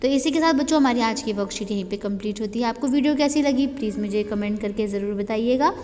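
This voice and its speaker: A woman teacher with an Indian accent whose first language is Hindi, talking children through a worksheet and reading English answers slowly for dictation. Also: fairly high pitch, a little mellow, big-room sound.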